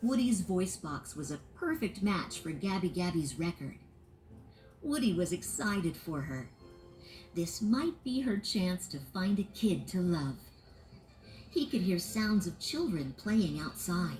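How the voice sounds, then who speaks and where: A woman narrating a children's read-along storybook in an expressive, sing-song way. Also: lowish voice, measured pace, soft background music, poor audio.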